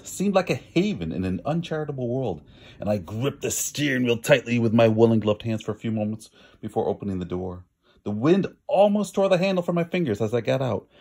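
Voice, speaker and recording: Expressive, gravelly man reading a storybook. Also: medium pitch. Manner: theatrical, drawn-out emphasis. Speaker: storybook reader. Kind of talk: expressive picture book read-aloud.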